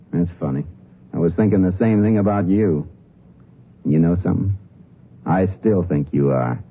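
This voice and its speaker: An American actor in a 1950s radio drama, playing a terse Texas Ranger who poses as a tough jailbird. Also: male voice, low-pitched, unhurried, long pauses. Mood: wary, gruff. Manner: terse, hard-boiled. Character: Texas Ranger posing as surly tough jailed crook. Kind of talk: 1950s radio acting and first-person narration. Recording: muffled vintage 1950s radio recording, music.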